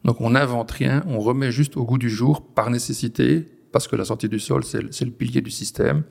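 A man's voice: medium pitch, steady tone, normal speed, vocal fry, a little mellow. A man in his forties from the Belgian Ardennes, a farmer explaining his work in an interview with frequent restarts and fillers. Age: 40s. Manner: hesitant, many restarts and fillers. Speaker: farmer guest. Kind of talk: conversational interview. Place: on a farm.

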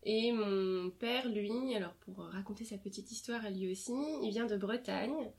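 A young French woman in a relaxed interview, talking over her upbringing. She hesitates often, fills pauses with small sounds and restarts her sentences, in an ordinary mid-range voice. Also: mid-range voice, vocal fry. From France. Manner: hesitant, many fillers and restarts. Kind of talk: casual interview conversation.

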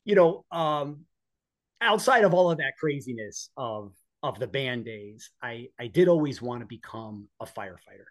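A man's voice: mid-range voice, expressive, moderate pace. American man in his forties telling stories. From northeastern United States. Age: 40s. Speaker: podcast guest, police officer and former EMT. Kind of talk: conversational personal storytelling.

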